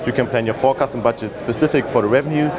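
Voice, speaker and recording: A man from Germany with a German accent answers interview questions at an easy pace. He talks in a relaxed way with frequent ums and uhs. A crowd chatters clearly behind him in a busy hall. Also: mid-range voice, racing. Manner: relaxed, many fillers like um and uh. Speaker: interviewee, business development head. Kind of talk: conversational interview.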